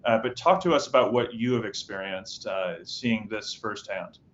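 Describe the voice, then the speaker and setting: A man's voice: lowish voice, normal speed, fairly bright tone. A man hosting a conversational news interview, putting questions to guests. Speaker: interview host. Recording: muffled audio with clear background noise.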